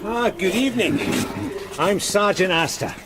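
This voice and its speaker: An actor playing an irritable, overworked police sergeant who barks impatient, blunt questions in a radio drama. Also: male voice, high voice, animated, a little slow. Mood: angry, bossy. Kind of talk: naturalistic radio drama acting.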